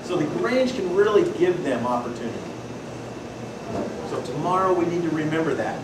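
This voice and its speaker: A man with a Pacific Northwest accent giving a folksy, lively workshop talk to an audience, telling stories and asking the room questions. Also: on the high side, normal speed. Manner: loud folksy storyteller, asks the room questions. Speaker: presenter at a membership workshop. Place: meeting hall with a live audience. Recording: distant, echoey room recording with loud noise.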